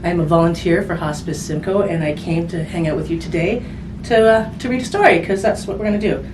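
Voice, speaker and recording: Low-voiced woman reading aloud, roomy and distant. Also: fast. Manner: conversational, simple phrasing aimed at children, with tag questions. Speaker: hospice volunteer reading a picture book to children. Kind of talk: read-aloud storytime with casual asides. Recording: roomy, distant mic with background noise.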